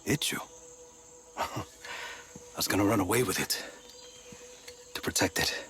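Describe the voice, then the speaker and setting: A man's voice: lowish voice, animated, unhurried, fairly bright tone. An actor playing a wry, scholarly male archaeologist in a game cutscene. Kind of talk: video game cutscene voice acting.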